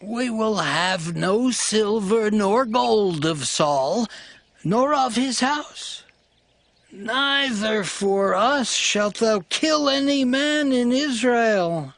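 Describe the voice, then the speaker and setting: A man's voice: high-pitched, animated, drawn-out words, a bit bright, dry recording, heavily acted. An actor playing a vengeful spokesman of a wronged people in dramatized scripture, emphatic and unforgiving. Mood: bitter refusal.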